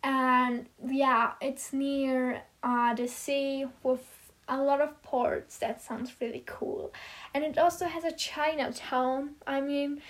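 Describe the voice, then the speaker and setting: A fifteen-year-old girl whose first language is German speaks in a high voice, taking her time. She rambles through a casual chat about herself, full of fillers and little giggles. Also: fairly even intonation, slow, fairly bright tone. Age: teens. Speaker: vlogger answering questions about herself.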